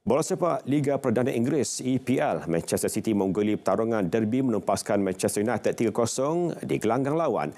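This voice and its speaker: A man's voice: medium pitch, animated, fairly quick, hardly any pauses, dark tone. A man with a Malaysian accent reading scripted sports news as a presenter. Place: television studio. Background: music.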